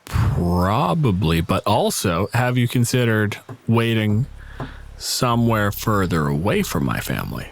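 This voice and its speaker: A man voicing a blunt, exasperated islander in a tabletop game, deadpan and strainedly polite as he protects his family. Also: on the low side, a little slow, gravelly, full character voice.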